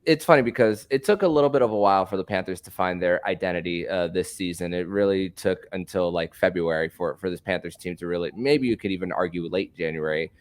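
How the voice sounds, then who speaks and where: A man hosting a casual hockey podcast, who talks haltingly and repeats words as he forms his thoughts. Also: low voice, no room sound. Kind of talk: casual sports podcast chat.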